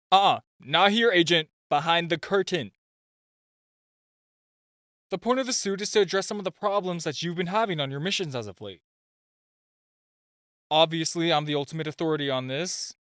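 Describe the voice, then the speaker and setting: Man acting a shy, flustered, higher-voiced gadget guy. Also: fairly bright tone, dry recording, clean studio sound. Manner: nervous, stammering, halting, self-interrupting. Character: super-shy, easily flustered male spy gadget guy. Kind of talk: romantic comedy audio roleplay.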